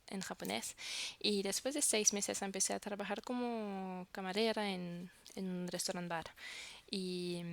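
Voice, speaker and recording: A woman's voice: medium pitch, vocal fry, fairly bright tone. A woman whose first language is French, vlogging casually to camera and searching for words as she talks.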